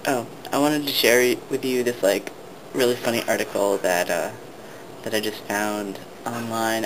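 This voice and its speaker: A young man talking casually to the camera, hesitant and full of ums and restarts. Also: medium pitch. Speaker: vlogger. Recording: steady background noise.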